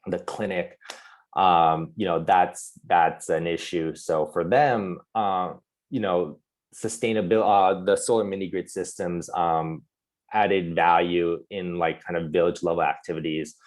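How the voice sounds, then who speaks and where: A young man speaking casually as a webinar panelist over a video call, halting with many fillers and restarts. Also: sits low, steady tone.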